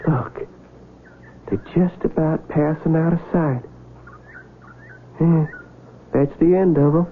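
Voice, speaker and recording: An actor playing a nervous, polite young Civil War soldier in a radio drama, with a creaky American voice and folksy, halting, stammering speech. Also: male voice, fairly high pitch, fairly even intonation, slight background noise. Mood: anxious, puzzled. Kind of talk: 1970s radio drama acting. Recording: narrow, tinny vintage radio broadcast, music behind.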